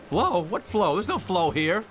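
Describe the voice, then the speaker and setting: An actor playing a wry, restless young bee hero in a cartoon game, sardonic and questioning. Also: male voice, high-pitched, very animated, a little slow, soft background music. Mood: wry, frustrated. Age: young adult.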